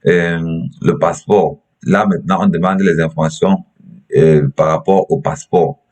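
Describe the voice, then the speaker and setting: Tutorial presenter: young man, Cameroonian accent, deep low register, halting instructional delivery with frequent repetition. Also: steady tone, a little mellow. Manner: halting, repeats himself. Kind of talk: step-by-step screen tutorial.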